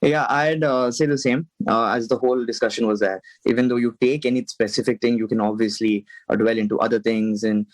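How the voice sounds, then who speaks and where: Quick earnest young man from India. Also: medium pitch, fairly even intonation, no echo, poor audio. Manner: earnest, many fillers. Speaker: panelist. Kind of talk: casual panel talk.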